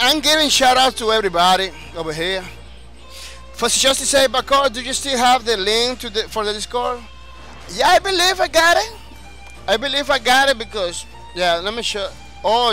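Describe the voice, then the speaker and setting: High-voiced Dominican man streaming a game. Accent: Dominican Spanish. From Dominican Republic. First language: Spanish. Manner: energetic, repetitive, restarts phrases often. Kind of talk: live-stream chat, reading viewer comments.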